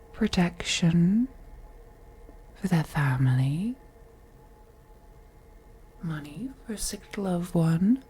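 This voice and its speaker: A woman acts out an aristocratic elder vampire lady for a roleplay audio drama. Her soft voice is breathy and mellow, often slipping toward a whisper. She takes her time with every phrase, haughty and seductive, toying with her prey. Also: medium pitch, exaggerated voice. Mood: coaxing, sly. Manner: slow, teasing, condescending, drawn-out phrasing with breathy whispers.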